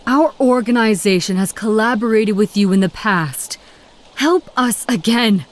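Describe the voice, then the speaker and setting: A woman's voice: a little high, measured pace, natural voice. An actress voicing a determined, zealous female agent of a secret order in a video game, solemn and poised. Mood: measured, earnest. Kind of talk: dramatic video game dialogue.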